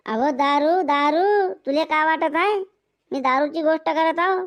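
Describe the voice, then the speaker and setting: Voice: sits high, measured pace, a bit bright. A performer voicing a scolding, sarcastic village father in a comedic cartoon, with a rural Maharashtrian Marathi accent. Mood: teasing. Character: grumbling, mocking, boastful village father. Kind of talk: comedic cartoon skit dialogue.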